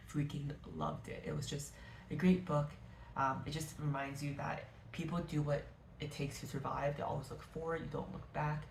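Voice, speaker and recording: A woman's voice: low-pitched, creaky, a little mellow, slight background noise. A woman reviewing her favourite books of the year in a casual, gushing, rambling way, often correcting herself mid-sentence. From Canada. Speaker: book vlogger. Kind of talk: casual book review to camera. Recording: echoey room.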